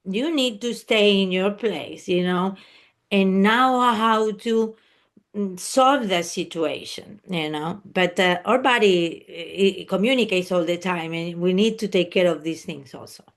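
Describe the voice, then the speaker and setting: A woman's voice: mid-range voice, measured pace. A woman from Spain with a Spanish accent, a native Spanish speaker, answering as an interview guest in an explanatory, conversational way. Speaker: interview guest, luxury service professional.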